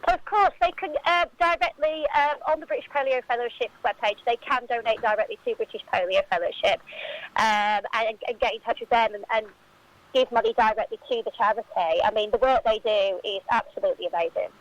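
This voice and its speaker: A woman's voice: a little high, fairly quick, dull audio. A woman speaking as a football club spokesperson, giving answers in a radio interview.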